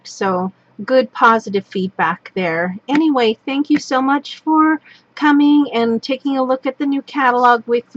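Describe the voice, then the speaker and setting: A woman from Northern California, hoarse and losing her voice, casually walking through a product catalog with lots of ums and restarts, recorded at a table with an overhead camera. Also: medium pitch, dull audio. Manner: rambling, full of ums and restarts. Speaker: craft product demonstrator. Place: indoors at a wooden table. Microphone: overhead camera on a tabletop, hands only.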